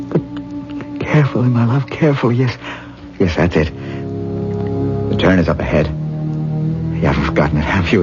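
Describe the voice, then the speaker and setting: An actor playing a vain, lovesick, obsessive stage actor in a 1970s radio drama, with halting, repetitive, theatrical delivery. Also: male voice, deep voice. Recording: muffled vintage radio recording, music behind.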